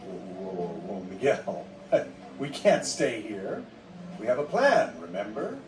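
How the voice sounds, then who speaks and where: An actor playing a smooth-talking but anxious con artist in an animated film, halting and correcting himself. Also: male voice, lowish voice, roomy sound, far from the mic, background noise. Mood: nervous disbelief. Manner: hesitant, halting, self-correcting.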